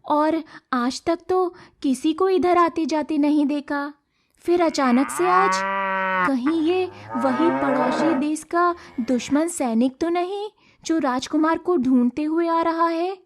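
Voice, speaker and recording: A woman voicing a kind, brave young village woman in a cartoon story, earnest and expressive. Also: slow. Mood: anxious. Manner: earnest, expressive, high-voiced. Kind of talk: Hindi cartoon moral story dialogue.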